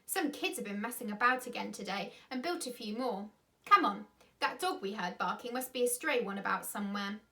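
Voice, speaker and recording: A woman's voice: medium pitch, lively intonation, a little fast, bright, forceful tone. A woman voicing a suspicious, brusque grown man, a shady villain in a children's storybook. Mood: brusque dismissive.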